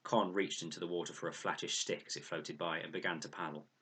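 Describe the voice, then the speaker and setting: A man reading a children's novel aloud as an expressive storyteller. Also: low-pitched, fast talker, slightly rough. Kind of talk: expressive children's audiobook narration.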